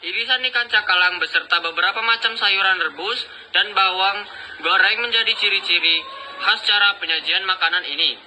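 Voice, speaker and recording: A man's voice: high-pitched, moderate pace, bright, forceful tone. A young male student giving a school slide presentation in a noisy amateur recording. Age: teenager or young adult.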